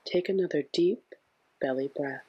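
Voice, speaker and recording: A man with a husky, breathy voice gently guiding a sleep meditation in a soothing, hushed way. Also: on the high side, moderate pace, no echo, highs cut off. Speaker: meditation guide.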